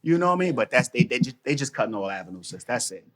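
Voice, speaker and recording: A man talking casually on a podcast, animated and slangy, often repeating phrases. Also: medium pitch, very fast. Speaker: podcast guest, mental toughness trainer.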